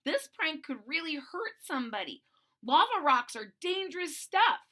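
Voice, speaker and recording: Woman as a high-pitched, earnest young dinosaur boy. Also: big pitch swings, a little slow, pushed and bright, full character voice. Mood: urgent. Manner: pleading, earnest. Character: Boland, earnest young male dinosaur, worried do-gooder.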